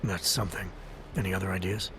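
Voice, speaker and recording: Game hero agent, played by a man: deep-set voice, quick delivery, businesslike, determined, keeps emotion in check. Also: flat delivery, background noise, natural voice. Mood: calm, persuasive. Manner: terse, matter-of-fact, restrained. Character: calm, determined male covert agent, controlled and businesslike. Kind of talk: dramatic video game acting. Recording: game dialogue under loud music. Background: game music.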